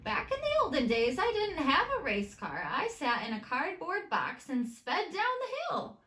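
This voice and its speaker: A woman's voice: sits high, huge pitch swings, moderate pace, fairly bright tone, reverberant, voice pushed up, exaggerated voice. A woman voicing an encouraging old grandmother in a storybook, emphatic and nostalgic about the past. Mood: nostalgic, proud. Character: encouraging old grandmother recalling olden days.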